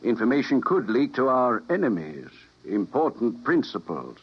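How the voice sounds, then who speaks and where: An actor playing a calmly menacing male official in a 1950s radio drama, with a husky voice. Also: medium pitch, normal speed. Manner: smooth, condescending. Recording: dull vintage radio broadcast.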